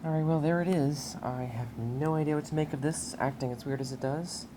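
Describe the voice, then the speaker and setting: A woman's voice: low voice, fairly even intonation, brisk. A woman giving casual hands-on commentary, thinking aloud in a matter-of-fact way, recorded in a basement. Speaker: hobbyist video creator. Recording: noisy camera recording in basement.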